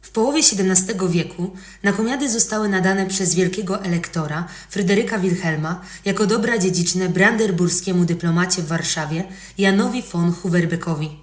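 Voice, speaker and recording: A woman narrates history from a script. Her voice sits a little low, and it rings with clear room echo. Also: fairly quick, few pauses. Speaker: podcast narrator. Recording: roomy, echoing voice recording.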